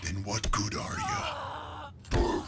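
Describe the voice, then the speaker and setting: An actor playing a brutish, mocking male ork warboss in a video game cutscene, with a growling, gravelly voice and crude slang. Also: slow, heavy background noise, lightly acted. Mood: taunting. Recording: game score loud behind.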